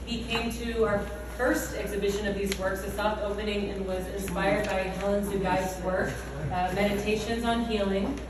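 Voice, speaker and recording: A woman's voice: medium pitch, flat delivery, moderate pace, hardly any pauses, noisy background. A woman hosting a gallery event, introducing the next reader with hesitant fillers. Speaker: event host. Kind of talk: introducing a speaker. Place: art gallery room. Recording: distant voice in a reverberant hall.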